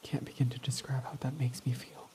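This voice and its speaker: A young man playing a guarded male villain who confesses his love, speaking softly and often sinking to a whisper in a romantic roleplay. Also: mid-range voice, level tone, a little fast, close to an everyday voice. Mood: soft, affectionate. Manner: soft-spoken, often dropping to a whisper. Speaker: ASMR roleplay voice actor.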